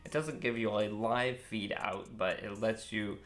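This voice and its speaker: A young man with a very low voice casually reviewing a microphone outdoors, relaxed and thoughtful, with frequent ums and uhs. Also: unhurried, faint music behind. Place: outdoors with birds nearby. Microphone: shotgun mic on phone.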